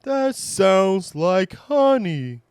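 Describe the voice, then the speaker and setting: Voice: mid-range voice, big pitch swings, drawn-out words, darkish tone, smooth, no echo, studio quality, voice pushed up. A narrator voicing a naive, hopeful male bear who loves honey, in a children's storybook.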